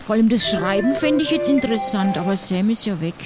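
A woman's voice: medium pitch, animated, quick pace, music in the background, natural voice. A woman playing a plucky pirate crew member in improvised roleplay, rambling colloquially. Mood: puzzled.

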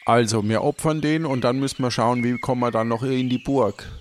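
A man playing a helpful, naive gatekeeper in an improvised pirate audio play, talking in a casual, halting way. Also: mid-range voice, fairly even intonation, close to an everyday voice. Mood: earnest planning. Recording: faint background noise.

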